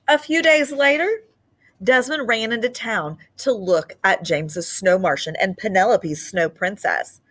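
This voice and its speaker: A woman teacher reading a picture book aloud to her class in an expressive, sing-song way. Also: medium pitch. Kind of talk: storybook read-aloud for children.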